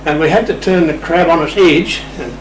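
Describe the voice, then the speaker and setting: A man's voice: a little high, brisk. An older Australian man telling a casual anecdote as an interview guest, in a wry, playful, understated way. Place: radio shack room. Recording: roomy, distant mic, clear background noise.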